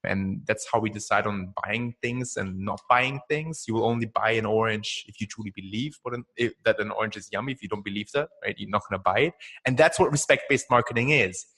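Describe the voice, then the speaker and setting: A young man from Austria whose first language is German, speaking as a podcast guest in a chatty, energetic way and often tagging "right?" onto his points. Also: lowish voice, fairly quick. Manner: energetic, chatty, frequent "right?" tags and laughs. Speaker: guest, marketing founder. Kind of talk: casual podcast interview.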